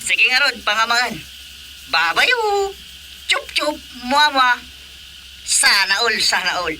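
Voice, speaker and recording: A man's voice: extremely high pitch, very animated, slow pace, sharp tone, a little hiss or hum, full character voice. A man playing a cocky, flirty village youngster in a comic radio drama, with an Ilocano accent. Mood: playful.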